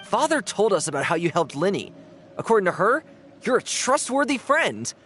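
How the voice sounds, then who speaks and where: A man's voice: sits high, sing-song, normal speed, fairly bright tone. An actor voicing a friendly, confident young man, a helpful scout, in a video game. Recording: game music under the voice. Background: background game music.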